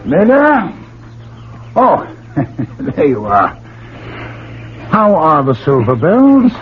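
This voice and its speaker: An actor playing a mild, affable middle-aged husband and scholar in a 1970s radio drama, gentle and conversational. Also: male voice, a little high, huge pitch swings, drawn-out words, soft background music, full character voice. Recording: muffled 1970s radio broadcast, faint noise.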